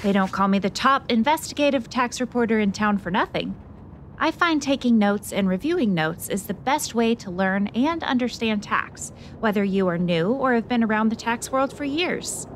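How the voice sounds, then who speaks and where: A woman's voice: a little high, animated, normal speed, a little hiss or hum, close to an everyday voice. A woman playing a plucky, self-assured investigative reporter in a scripted radio drama, inquisitive and theatrical.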